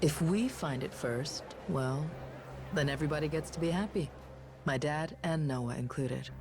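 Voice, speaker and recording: A woman's voice: low-pitched, moderate pace, over music. An actress voicing a tough, self-assured young woman bodyguard in a video game cutscene, casual and slangy. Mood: persuasive, sly.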